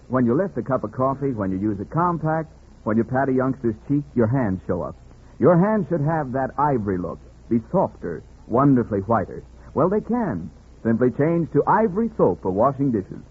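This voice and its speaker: A man's voice: medium pitch, breathy edge. A man announcing on 1940s radio, reading commercials with a polished, emphatic sales delivery. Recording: muffled, phone-like 1940s radio recording.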